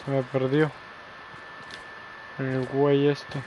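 A man's voice: mid-range voice, completely flat delivery, slow pace, dull audio. A man from El Salvador streaming a shooter game live, chatting with his teammate and viewers and repeating a half-English catchphrase. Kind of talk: live gameplay chat. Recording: noisy game audio behind.

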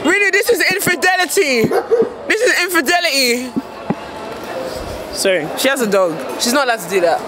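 Excitable woman over a loud crowd. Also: high voice, brisk, long pauses, heavy background noise. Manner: excitable, teasing, laughing. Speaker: friend on a trip. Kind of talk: casual vlog chat.

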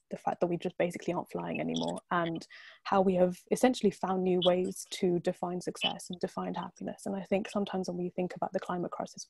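A woman in her twenties speaking as a panelist on a video call, making a measured, articulate argument through earphones from a bright room at home. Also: on the low side, gravelly, husky, dry recording. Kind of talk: online panel discussion. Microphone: earphone headset on a video call.

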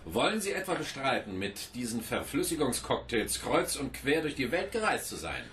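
An actor playing a mocking, domineering police inspector with a sinister edge in a radio drama, taunting and commanding. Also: male voice, mid-range voice, big pitch swings, normal speed, a bit bright, slight background noise. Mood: mocking, menacing.

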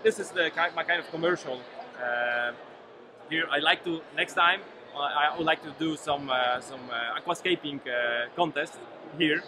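Man, forties, higher-pitched voice, halting product demo, clear background noise of a busy hall. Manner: halting, repeats words, non-native English phrasing. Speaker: company CEO, product presenter. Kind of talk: informal product demonstration in an interview. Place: busy expo hall, at shop shelves. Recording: noisy expo hall ambience behind.

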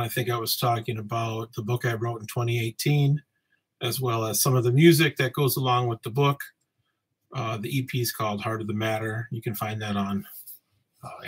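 A man in his forties, originally from Samoa, hosting a casual solo podcast from his home office, talking in a relaxed, rambling way with many ums. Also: medium pitch, husky. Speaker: podcast host. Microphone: webcam framing, seated at desk.

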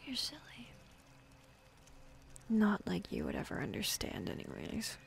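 A woman playing a bossy, jaded female demon in an ASMR roleplay, speaking softly and often half-whispering, with dismissive sighs. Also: mid-range voice, creaky, slight background noise.

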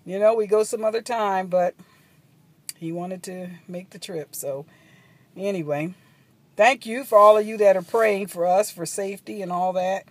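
A woman's voice: medium pitch, normal speed, dry recording. A middle-aged woman chatting to her phone camera from a parked minivan at a gas station, rambling with ums and asides. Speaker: travel vlogger. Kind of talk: casual vlog chat to camera. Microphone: phone camera at arm's length.

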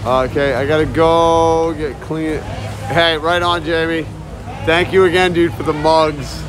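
A man talking loudly and casually to viewers as he live-streams while walking around. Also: fairly high pitch, fairly even intonation, a little slow. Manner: loud, chatty, talks to viewers and chat. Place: outdoors at a busy shopping area, later indoors. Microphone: phone held while walking. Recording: phone audio with crowd noise. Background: crowd chatter.

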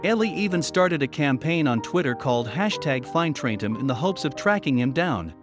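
A man's voice: on the high side, animated, normal speed. A man reading scripted story narration with a dramatic storytelling delivery. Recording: voice-over with music bed. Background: music.

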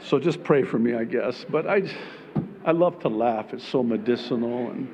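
A man in his fifties with an Arabic accent, preaching a sermon from a church pulpit, joking and building to emphatic, near-shouted points. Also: mid-range voice, moderate pace, dull audio, slight background noise. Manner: humorous, rising to emphatic near-shouting peaks. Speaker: pastor. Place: church stage behind a pulpit. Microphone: pulpit microphone.

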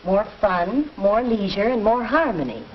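An American woman in middle age playing an earnest, orderly mother in a 1940s instructional film, speaking in a measured, careful way. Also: medium pitch, expressive, close to an everyday voice. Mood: earnest, organized. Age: middle-aged. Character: earnest, orderly mother proposing household reforms. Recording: dull, noisy 1940s film soundtrack.

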